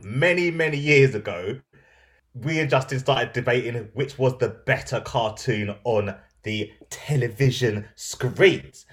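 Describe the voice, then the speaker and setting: A man's voice: mid-range voice, unhurried. A man co-hosting a podcast in casual, slangy banter. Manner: slangy, chatty. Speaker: podcast co-host.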